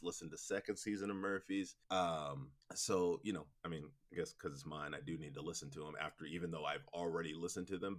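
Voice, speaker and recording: A man speaking casually as an interview guest, rambling through stories with slangy asides. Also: deep voice. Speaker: interview guest, audio drama producer.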